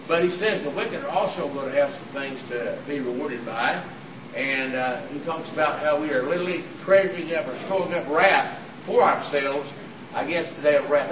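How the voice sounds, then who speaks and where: A hoarse, raspy-voiced man preaches an earnest Sunday sermon, halting often on "uh" as he works through the scriptures. His voice sits a little high for a man. He is caught from far off by a room mic in a church auditorium, with a roomy echo and clear background noise around him. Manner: earnest, halting delivery with many "uh" fillers. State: hoarse, raspy from throat trouble. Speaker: visiting preacher.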